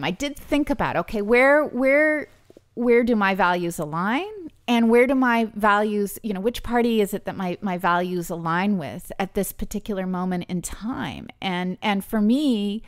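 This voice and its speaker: A woman speaks as a podcast guest, her voice sitting at an ordinary middle pitch. She talks earnestly and thinks aloud, often restarting her sentences as she goes. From British Columbia, Canada. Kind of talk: conversational interview.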